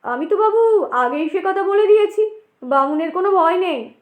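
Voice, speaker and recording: A woman's voice: very high-pitched, lively intonation, moderate pace, roomy sound. A woman voicing a talkative, deferential servant with an eager, folksy way of speaking. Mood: eager, confiding. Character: talkative, deferential servant fond of ghost tales.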